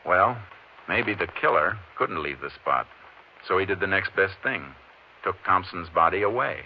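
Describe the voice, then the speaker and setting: An actor playing a steady, methodical Texas Ranger investigator in a terse, measured way, in 1950s radio drama style. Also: male voice, deep voice. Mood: pondering, deliberate. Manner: terse, measured, matter-of-fact. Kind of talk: 1950s radio western detective drama acting. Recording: dull, noisy old 1950s radio recording.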